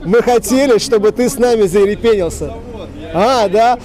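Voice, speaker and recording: Mellow, joking man hosting on the street. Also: sits high, normal speed, some chatter behind. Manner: joking, mock-serious, rambling, crude slang. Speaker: street quiz show host. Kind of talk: casual comic street interview. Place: outdoors on a city street. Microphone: handheld reporter microphone.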